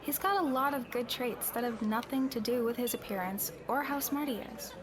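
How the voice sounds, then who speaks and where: An actress plays a young female tavern keeper in a fantasy audio drama, blunt yet caring. Her voice sits a little high and her delivery is conversational and emphatic. A clearly audible crowd murmurs behind her. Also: expressive, a little fast, lightly acted. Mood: firm, candid. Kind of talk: conversational roleplay voice acting.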